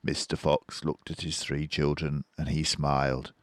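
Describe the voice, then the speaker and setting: A man's voice: extremely low pitch, level tone, unhurried, no echo, clean studio sound. An older man narrating a children's storybook as its host, reading from a script.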